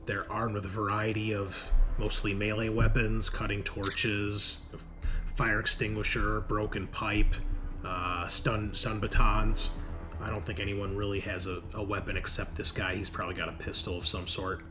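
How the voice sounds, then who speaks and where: A man improvising narration as game master of a tabletop game, often halting to search for words. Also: on the low side, flat delivery, music in the background. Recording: noisy room recording.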